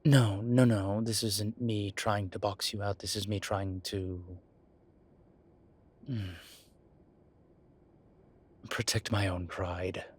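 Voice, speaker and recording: Casting: male actor as sardonic incubus demon professor for audio roleplay, very low and slow, guarded but playful. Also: fairly even intonation, slight background noise. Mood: guarded, reluctant. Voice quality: low, husky voice. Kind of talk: roleplay audio drama, speaking to an unseen listener.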